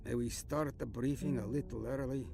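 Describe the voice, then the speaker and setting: Man acting a gravelly, feisty old starship captain. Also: medium pitch, steady tone, normal speed, close to an everyday voice. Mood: mock indignant. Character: older male starship captain, feisty, wry, jovial veteran. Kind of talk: science fiction audio drama acting.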